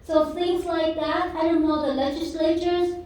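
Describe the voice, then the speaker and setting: A woman from Vietnam with a Vietnamese accent speaks in earnest, impassioned, somewhat broken English. Her voice sits high. She is heard from a distance in a strongly echoing room. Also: level tone, moderate pace, a crowd in the background. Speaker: audience member asking a question. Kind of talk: town hall question from the floor. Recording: echoey room, far from the mic.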